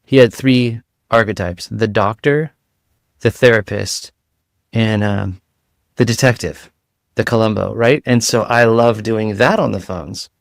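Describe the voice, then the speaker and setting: A man with a light voice, a guest in a casual interview, talking in rapid-fire analogies with frequent restarts. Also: fairly low pitch, creaky, no echo, clean studio sound.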